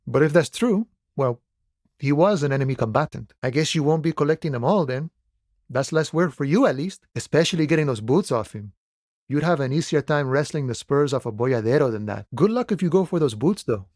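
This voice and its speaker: A man's voice: on the high side, expressive, a little mellow, no room sound, clean studio sound, lightly acted. Man acting laid-back philosophical cowboy-ish dock worker. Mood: nonchalant, friendly. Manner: unhurried, folksy musing, calls people friend and boya. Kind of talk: video game character dialogue.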